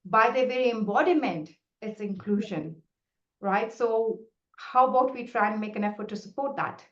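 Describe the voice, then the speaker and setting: A woman's voice: on the high side, normal speed, fairly bright tone. A middle-aged woman whose first language is Hindi, a researcher answering questions in a webinar in an earnest, explanatory way with frequent restarts. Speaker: researcher presenting findings. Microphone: video call. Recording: roomy, muffled video call audio.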